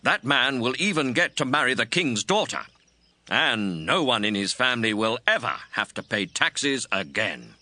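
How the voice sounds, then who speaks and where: A man's voice: medium pitch, big pitch swings, moderate pace, pushed and bright, no room sound. An actor playing an alarmed soldier talking to his comrades in a dramatized audiobook. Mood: alarmed, excited. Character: alarmed soldier gossiping about a reward.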